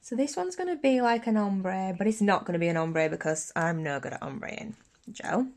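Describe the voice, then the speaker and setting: A woman's voice: medium pitch, expressive, normal speed, a little mellow. Chatty woman with a sniffly cold. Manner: chatty, bubbly, restarts mid-sentence. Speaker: beauty YouTuber. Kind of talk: casual nail tutorial vlog. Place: bedroom filming set, indoors.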